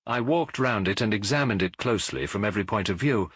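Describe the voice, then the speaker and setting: A man's voice: on the low side, animated, quick pace, fairly bright tone, husky, no room sound. A man voicing a clever, nonchalant Victorian detective in a storybook, urbane, precise and breezy. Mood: brisk, confiding. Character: brilliant, nonchalant Victorian male detective, brisk and amused.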